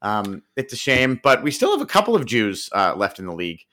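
A man's voice: medium pitch, huge pitch swings, fairly quick, bright, forceful tone. A man co-hosting a podcast, chatting about football in an easygoing, joking way with many fillers. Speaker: podcast co-host. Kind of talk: casual sports chat.

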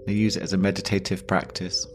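A man with a low voice leads a guided deep rest meditation. He speaks slowly and gently, leaving long pauses between short phrases. Meditation music with singing bowls plays behind him. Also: level tone. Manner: slow, pausing, gentle instruction. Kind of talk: guided yoga nidra meditation.

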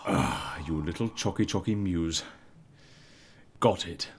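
An actor with a British accent playing an eccentric, genial agency fixer in a scripted audio comedy, coaxing and wry. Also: male voice, low-pitched, expressive, slow, slight background noise. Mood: encouraging, excited. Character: eccentric, genial male agency fixer, cocoa-loving and breezy.

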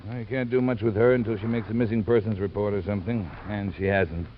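A man playing a weary, gruff American homicide sergeant in a 1950s radio drama, curt and matter-of-fact. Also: lowish voice, steady tone, fast, natural voice. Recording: muffled, faintly noisy 1950 radio recording.

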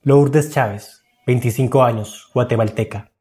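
A man's voice: mid-range voice, unhurried, darkish tone, soft background music. A man narrating a scripted documentary as its host, in a dramatic, literary storytelling manner.

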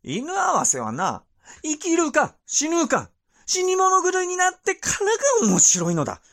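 Shrill, wildly swooping decadent regent. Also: male voice, huge pitch swings, measured pace, fairly bright tone, exaggerated voice. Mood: gloating excitement. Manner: languid, gloating, affected lordly speech. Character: capricious, cruel, decadent regent who delights in bloodsport. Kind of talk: period audio drama acting.

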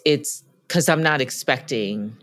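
A woman co-hosting a podcast, talking in an emphatic, lively way about workplace experiences. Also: rumbling low, measured pace. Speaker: podcast co-host. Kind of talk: conversational podcast discussion.

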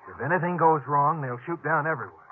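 A man's voice: fairly high pitch, quick pace, slight background noise, lightly acted. Captive station keeper for a vintage radio western, man playing: American, earnest, terse, guarded and tense, muffled broadcast sound. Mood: urgent, tense. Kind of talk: 1950s radio drama acting.

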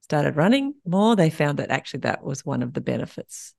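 A woman's voice: low-pitched, very animated, fairly quick, creaky, a little mellow, breathy edge, no echo, clean studio sound. An Australian woman hosting a podcast interview, chatty and laughing often. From Tasmania. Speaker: podcast host. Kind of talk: casual interview chat.

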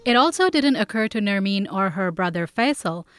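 A woman's voice: medium pitch, expressive, moderate pace, no room sound, clean studio sound, faint music behind. A woman reading scripted narration for an audio documentary as its host.

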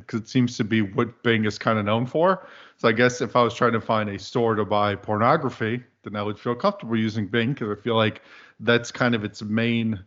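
A man in his thirties from the American Deep South, chatting casually as a podcast guest in a meandering, dryly humorous way. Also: a bit bright. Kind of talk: casual chat. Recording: dull lo-fi podcast audio.

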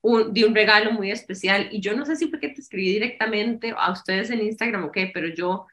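A woman's voice: medium pitch, fairly quick, fairly bright tone. A woman co-hosting a podcast, telling a story in an easygoing way. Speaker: podcast co-host. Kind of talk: casual podcast chat. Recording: echoey room.

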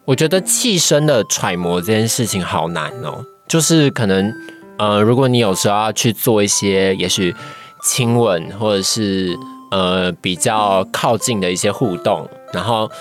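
A man's voice: lowish voice, normal speed, soft background music. A young adult man with a Taiwanese accent, chatting casually as a podcast guest. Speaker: podcast guest, trainee voice actor. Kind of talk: casual chat.

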